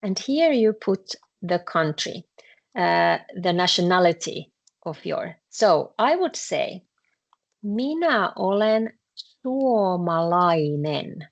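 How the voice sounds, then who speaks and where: A woman with a Finnish accent teaching a language class, explaining patiently with frequent pauses and fillers. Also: on the low side, sing-song, creaky, highs cut off. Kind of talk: classroom lesson explaining grammar.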